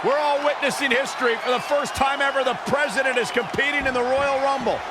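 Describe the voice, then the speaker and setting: A man playing an excited wrestling play-by-play announcer, calling the action in an emphatic announcer's delivery. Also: very high-pitched, brisk. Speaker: lead wrestling play-by-play commentator. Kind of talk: pro wrestling commentary. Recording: loud crowd and slamming arena noise.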